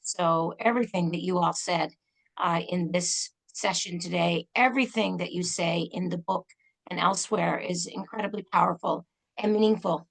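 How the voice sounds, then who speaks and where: A woman's voice: lowish voice, steady tone, normal speed, vocal fry, poor audio. A woman hosting an online reading over a video call, thanking the readers effusively with a few fillers.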